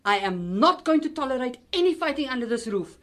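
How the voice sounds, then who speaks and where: Radio drama mom played by a woman: harried, fussing, Cape Town accent, high and highly animated voice. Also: brisk. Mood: stern, exasperated. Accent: South African, Cape Town. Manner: exasperated, fussing. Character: harried, strict, fretful middle-aged mother. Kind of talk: amateur radio drama acting.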